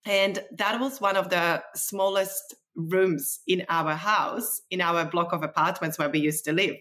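A woman's voice: fairly low pitch, fairly even intonation, unhurried, a bit bright. Chatty woman nutritionist from Czechoslovakia. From former Czechoslovakia. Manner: chatty, enthusiastic, non-native phrasing. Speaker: podcast guest, nutritionist. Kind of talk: casual conversational storytelling.

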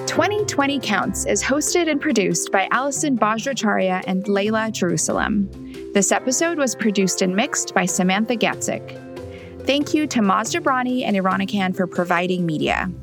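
A woman's voice: medium pitch, lively intonation, normal speed, over music. A woman narrating a scripted documentary podcast as its host.

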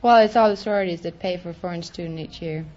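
A woman's voice: fairly low pitch, fast talker, a little mellow. Young foreign woman on an old radio quiz. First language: not English. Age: young adult. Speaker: quiz show contestant. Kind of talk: casual answers to a host. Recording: muffled noisy old radio recording.

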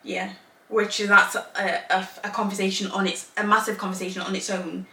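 A woman's voice: medium pitch, flat delivery, brisk, bright, forceful tone, soft background music. A woman born in Zambia and raised in England, co-hosting a casual podcast chat, rambling in long run-ons and laughing often. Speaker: podcast co-host. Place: home room, Northern Ireland. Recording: echoey room, mic at a distance.

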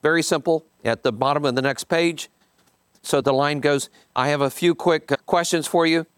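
A man's voice: a little high, moderate pace, clear, resonant tone, no echo, clean studio sound. A man giving an informal coaching talk, reading bits from a book and commenting, often repeating points for emphasis and checking in with listeners. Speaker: business coach leading a group session.

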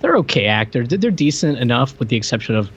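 A man co-hosting a podcast, chatting about a film in an informal, joking and halting way. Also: medium pitch, very animated, breathy edge, dull audio. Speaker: podcast co-host. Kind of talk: casual movie review chat. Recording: noticeable background noise.

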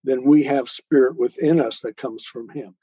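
A man explaining his ideas in a measured, didactic way, building each point step by step, on a slightly dull recording. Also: mid-range voice, dark tone, breathy edge, no echo. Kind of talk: explanatory talk on spirituality.

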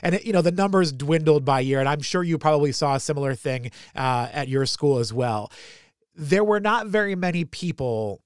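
Host, man: casual, rambling and friendly, slightly high voice, dry close-mic sound. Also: normal speed, smooth, no room sound. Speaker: podcast host. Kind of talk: podcast interview conversation.

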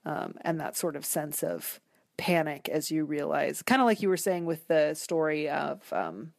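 A woman with a low-pitched voice talks as a guest in a conversational interview. She is reflective and hesitant, building long sentences and revising them as she goes. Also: lively intonation, a little slow, creaky. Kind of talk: conversational interview, literary discussion.

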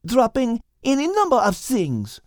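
A man voicing a calm, wise female cat who reasons carefully and drops French words. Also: extremely high pitch, takes it slowly, no echo, studio quality, voice pushed up, heavily acted. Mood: reasoning.

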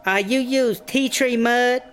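A woman's voice: fairly high pitch, takes it slowly. A woman playing a breezy, bossy startup boss in a comedy audio drama, speaking clipped broken English. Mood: pampered, approving. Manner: clipped broken English, dropped verbs and articles.

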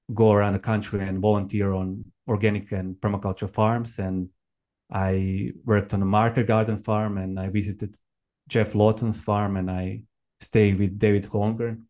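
A man in his thirties from Croatia with a Croatian accent, telling his own story conversationally as an interview guest, in halting, reflective non-native English. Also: lowish voice, a little mellow. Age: 30s. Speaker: podcast guest, permaculture farmer and educator. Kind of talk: conversational personal account.

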